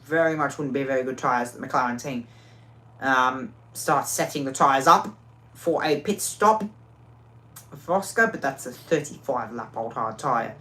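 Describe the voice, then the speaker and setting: A woman commentating a racing game live, excitable, often repeating and restarting phrases, her voice worn out. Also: very deep voice, normal speed, husky.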